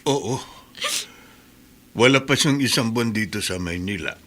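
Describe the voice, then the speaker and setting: A man's voice: fairly low pitch, expressive, a little slow, fairly bright tone. An actor playing a kind, fatherly older market vendor in a radio drama. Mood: worried.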